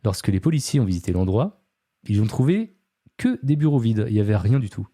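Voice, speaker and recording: A man's voice: on the low side, big pitch swings, a little fast, dark tone, slightly rough, no echo, studio quality. A man hosting a podcast, telling a true-crime story casually, with many fillers and chatty asides to his co-host.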